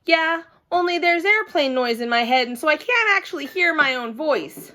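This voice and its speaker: Woman plays bossy little storybook girl: high, bright, eager, emphatic and chatty. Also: animated, normal speed. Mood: insistent.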